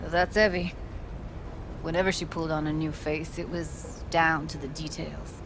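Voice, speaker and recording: A woman's voice: sits low, flat delivery, normal speed, background noise. A woman acting a blunt, streetwise, hotheaded and grieving young woman in a naturalistic video game performance. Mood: weary, grieving.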